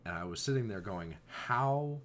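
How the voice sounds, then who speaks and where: A young man vlogging in casual chat, rambling with exasperated asides, his voice going a bit hoarse. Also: lowish voice, expressive, normal speed, slight background noise.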